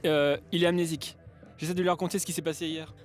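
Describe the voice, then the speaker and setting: An actor playing an excitable, teasing young man recounting a past adventure to a friend. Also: on the high side, fast, soft background music, lightly acted. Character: eager, bossy young male narrator companion.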